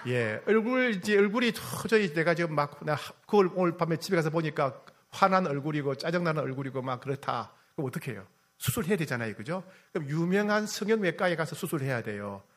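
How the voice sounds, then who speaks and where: A male pastor preaching a sermon, asking the congregation questions, teasing them and repeating key phrases. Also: on the high side. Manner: repeats key phrases, swings from loud to soft.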